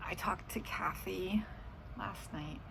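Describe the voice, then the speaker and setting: A woman's voice: fairly low pitch, takes it slowly, gravelly, noisy background. A middle-aged woman in casual, rambling chat about her day, drifting off into asides. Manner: meandering, trailing off into asides. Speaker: vlogger.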